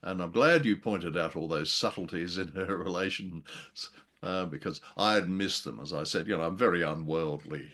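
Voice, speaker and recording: A man's voice: sits low, moderate pace, a bit bright. A man co-hosting a show, chatting about a classic film in a hesitant, musing way with a playful, self-deprecating streak. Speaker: podcast co-host. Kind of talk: casual discussion of a classic film.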